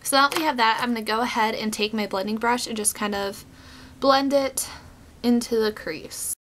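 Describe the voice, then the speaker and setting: A young woman talks through her makeup routine in a casual, chatty way, filling pauses with "um" and "like". Her tone is bright and a little edgy, and her voice is worn from a cold. She sits close to a webcam in a small bedroom. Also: fairly high pitch, slight background noise. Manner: chatty, relaxed, many fillers like "um" and "like". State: getting over a cold, voice going in and out. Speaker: beauty vlogger.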